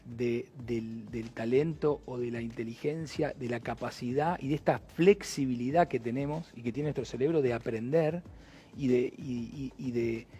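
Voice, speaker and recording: A man's voice: mid-range voice, moderate pace, dark tone. A man with an Argentine Spanish accent hosting an interview, talking in a hesitant way with many restarts. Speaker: host. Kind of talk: conversational interview.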